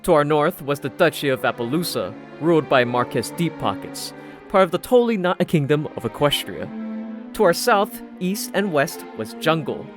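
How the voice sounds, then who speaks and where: Animated, sardonic male story narrator over music. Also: a little high, normal speed. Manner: dry, sardonic. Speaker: audiobook narrator. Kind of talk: first-person scripted story narration.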